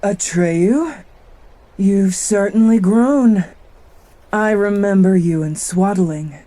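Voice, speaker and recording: A woman playing a dignified old matriarch of giant earth titans, slow and solemn, in a fantasy audio drama. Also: medium pitch, dark tone, low-quality recording. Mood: regal, welcoming.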